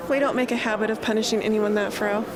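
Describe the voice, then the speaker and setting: A woman's voice: mid-range voice, fairly even intonation, fast talker, close to an everyday voice. A woman playing a cool, dismissive leader in a radio drama, speaking curtly. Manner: curt, dismissive. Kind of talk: amateur radio drama dialogue. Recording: loud background noise over the voice.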